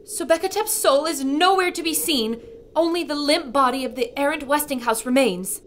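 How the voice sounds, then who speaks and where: An actress playing an earnest young radio reporter, describing events to listeners in an audio adventure drama. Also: female voice, high voice, normal speed, a bit bright, a little hiss or hum. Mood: awed.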